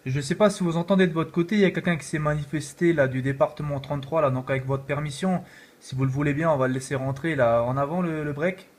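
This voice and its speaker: A man's voice: on the high side, fairly even intonation, quick pace. A man from France chatting casually as an amateur radio operator, in repetitive, informal operator jargon. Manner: repetitive, filler-heavy, informal.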